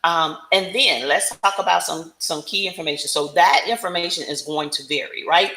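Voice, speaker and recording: Woman, low-pitched bright voice, business coach, conversational teaching, frequent check-in tags, echoey room. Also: moderate pace. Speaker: business coach presenting a livestream. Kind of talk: casual instructional talk to camera. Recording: roomy, echoing room sound.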